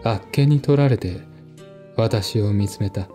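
A man's voice: on the low side, measured pace, dark tone. A man narrating a mystery story in the first person, as a dramatic literary reading. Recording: music behind the voice.

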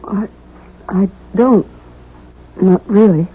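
Young bride, fragile, anxious, hesitant. Husky voice, 1970s radio drama, dulled lo-fi broadcast. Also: female voice, mid-range voice. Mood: subdued. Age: young adult. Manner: halting, hesitant. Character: fragile, anxious young bride doubting her own sanity.

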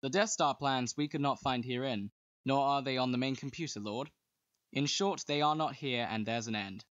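A man's voice: medium pitch, normal speed, a bit bright, no room sound, natural voice. A man playing a dutiful soldier of an evil empire, reporting in clipped archaic verse in a Shakespearean dramatic reading. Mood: brisk, dutiful. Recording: dull, muffled lo-fi microphone.

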